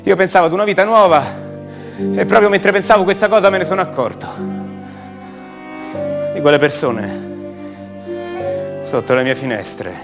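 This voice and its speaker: An actor playing an uneasy, brooding, paranoid man in a halting, word-repeating radio drama monologue. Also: high voice, music in the background. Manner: halting, stammering, repeats words. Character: uneasy, brooding grown son, increasingly paranoid and agitated. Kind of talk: radio drama monologue, naturalistic theatrical acting.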